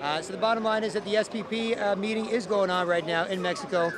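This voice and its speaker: A man's voice: high voice, fairly even intonation, fast, a crowd in the background. A man who talks like an informal street activist, explaining his views in plain, insistent terms. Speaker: activist speaker and interviewee. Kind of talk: impassioned street speech and informal interview.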